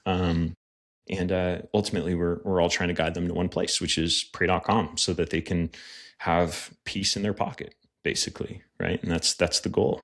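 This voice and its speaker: Male podcast guest, deep voice, earnest and thoughtful in delivery. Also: flat delivery, moderate pace, vocal fry, a bit bright. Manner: earnest and reflective, many fillers. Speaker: guest, pastor and media producer. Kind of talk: casual podcast conversation.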